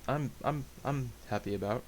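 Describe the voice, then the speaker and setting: A man chatting casually to viewers, hesitant and halting, with a self-deprecating, rambling way of talking. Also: medium pitch. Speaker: amateur story reader and channel host. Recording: hissy amateur home recording.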